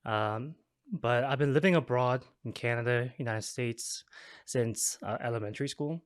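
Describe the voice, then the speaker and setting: A young man from South Korea, a guest talking casually in an interview, relaxed and chatty. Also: mid-range voice, normal speed, dry recording, clean studio sound. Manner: relaxed, chatty, frequent fillers and laughs. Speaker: guest, developer relations advocate.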